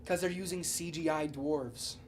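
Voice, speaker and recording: A man co-hosting a casual pop-culture podcast, talking in an animated, rambling way full of slang and swearing. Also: a little high, moderate pace, a little hiss or hum. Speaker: podcast co-host.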